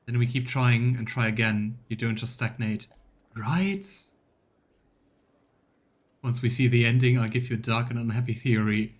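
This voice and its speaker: A man's voice: mid-range voice, level tone, moderate pace, long pauses. Chatty man commenting on a game. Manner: chatty, musing, laughs easily. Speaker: let's play host. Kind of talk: casual gameplay commentary.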